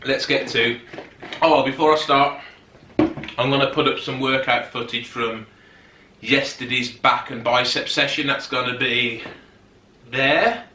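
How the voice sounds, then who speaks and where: A man's voice: medium pitch, steady tone, unhurried, pauses often, bright, forceful tone, reverberant, distant mic, a little hiss or hum. A man, chatting casually to camera from his settee and often rambling off topic as a fitness vlogger. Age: 30s. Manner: chatty, rambling, goes off on tangents. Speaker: fitness YouTuber. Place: sitting on a settee at home.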